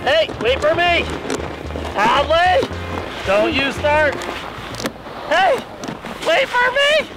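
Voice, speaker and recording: Lively, cheering dad coaching on the slopes. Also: male voice, very high-pitched, very slow, pauses often, loud music behind the voice. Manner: upbeat, encouraging, cheering. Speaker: vlogger dad teaching his daughter. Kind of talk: casual vlog, coaching a child. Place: outdoor ski slope. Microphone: handheld or action camera.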